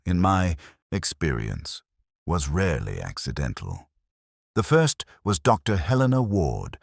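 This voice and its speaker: A man narrating a detective story in the first person, in a measured, literary and brooding manner. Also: sits low, no room sound, studio quality.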